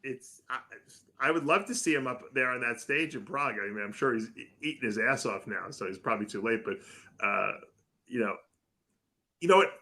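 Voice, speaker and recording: A man hosting a casual talk radio show, telling stories in an animated way and acting out other people's voices. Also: medium pitch, brisk. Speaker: host.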